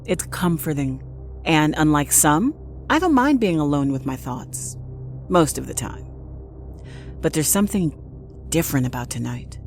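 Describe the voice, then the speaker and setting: A woman playing a solitary, unflappable night nurse, narrating an audio drama in a measured, confiding way. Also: sits low, expressive, a little hiss or hum. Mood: wry, ominous. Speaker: actress, narrator. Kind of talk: first-person scripted audio drama monologue.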